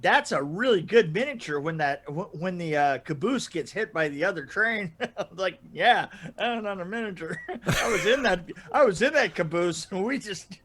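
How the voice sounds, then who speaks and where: A man with a notably high voice tells casual anecdotes on a podcast. He is animated and halting, doubling back on his words and chuckling as he goes. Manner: animated, laughs through his stories, halting starts and repeats. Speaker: podcast storyteller and guest regular. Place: indoor room, video call.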